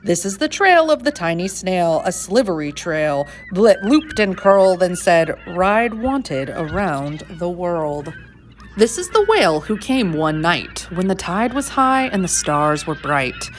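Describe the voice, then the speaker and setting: Woman w lowish voice doing singsong storytime read aloud, dramatic, bit of noise behind. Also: sing-song. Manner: measured, singsong, dramatic emphasis. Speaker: storybook reader for schoolchildren. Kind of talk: expressive read-aloud of rhyming picture book.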